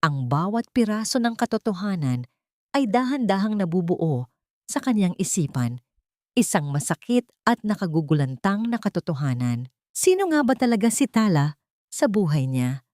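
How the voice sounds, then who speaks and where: A woman's voice: mid-range voice, sing-song, normal speed, a little mellow, no room sound, studio quality. A woman narrating a scripted drama story in a solemn, melodramatic storytelling manner.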